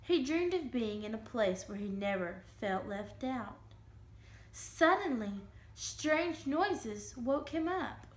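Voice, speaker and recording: High-voiced woman reading a storybook aloud. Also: lively intonation, takes it slowly. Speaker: reader giving a dramatic reading. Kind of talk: expressive children's storybook narration. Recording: roomy, echoing room sound.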